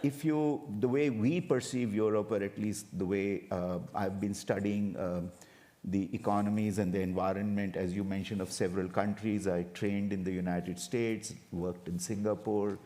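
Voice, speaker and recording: A man from India speaking as a panelist at a conference, measured and hesitant. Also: fairly low pitch, steady tone, dark tone.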